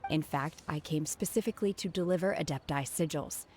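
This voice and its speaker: An actress playing a reserved, earnest young woman in a video game, speaking calmly and formally. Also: low-pitched, a little fast, faint music behind, natural voice.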